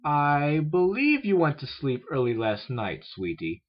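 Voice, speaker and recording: Gentle, reassuring female unicorn, a caring big sister played by a man, lifted pitch, storybook reading, lo-fi sound. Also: very animated, unhurried. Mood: soothing. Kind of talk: fan fiction read aloud with character voices.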